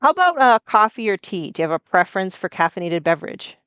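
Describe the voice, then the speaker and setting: A woman hosting a podcast who interviews a guest in a chatty, friendly way with quick asides. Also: medium pitch, big pitch swings, fairly bright tone, clean tone, no echo. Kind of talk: casual interview chat. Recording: dry, dull lo-fi sound.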